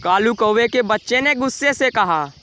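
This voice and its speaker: A man's voice: very high voice, lively intonation, normal speed, sharp tone, a little hiss or hum. A man narrating a scripted children's moral story as storyteller.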